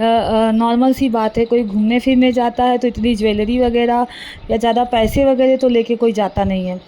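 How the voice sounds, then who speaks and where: A woman with a fairly high, mellow voice explains a case in an informal, unscripted way. She repeats and corrects herself as she goes. Faint noise sits behind her voice. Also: rough recording. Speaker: vlogger recounting a news case. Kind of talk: informal, unscripted news recounting.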